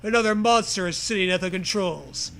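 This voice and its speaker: A man's voice: high voice, fairly quick, gravelly, fairly bright tone, a little hiss or hum. A man co-hosting a comedic story read-aloud, moving between narration and crude, joking casual banter. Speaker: co-host reading a comic story.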